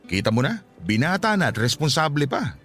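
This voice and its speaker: A man's voice: a little high, sing-song, a little fast, fairly bright tone, soft background music. A man acting a cheerful, easygoing middle-aged father in a radio drama. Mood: teasing.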